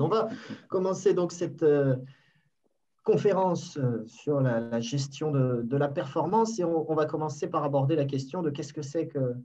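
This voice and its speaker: A man's voice: on the high side, darkish tone. Man moderating, distant dull audio. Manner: halting, many fillers and restarts. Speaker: conference moderator. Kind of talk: online conference hosting. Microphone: headset on video call.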